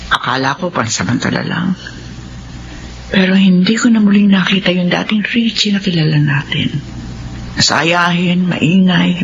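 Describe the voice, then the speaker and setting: A woman's voice: fairly low pitch, lo-fi sound, a little hiss or hum. An actress playing an anxious, loving mother in middle age, tender and worried, in a radio drama. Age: middle-aged. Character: anxious, loving, lonely mother nearing retirement.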